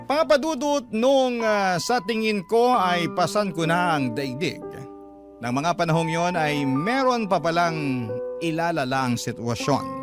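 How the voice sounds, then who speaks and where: A man telling a first-person story as a radio drama narrator, in measured phrases with pauses. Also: a little high, lively intonation. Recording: music bed under the voice. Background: prominent background music.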